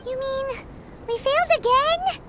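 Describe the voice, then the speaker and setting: Squeaky tiny fairy girl. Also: unhurried, very noisy. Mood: disheartened. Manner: squeaky, chirpy, eager. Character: tiny, perky, childlike female fairy companion, excitable. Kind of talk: video game voice acting. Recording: music behind the voice.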